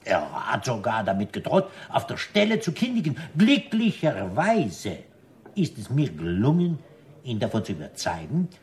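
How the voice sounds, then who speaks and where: An actor playing a suave, sly restaurant owner with formal, elaborately polite speech in a 1960s German radio drama. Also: male voice, mid-range voice, big pitch swings, normal speed, darkish tone, close to an everyday voice. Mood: smooth, reassuring.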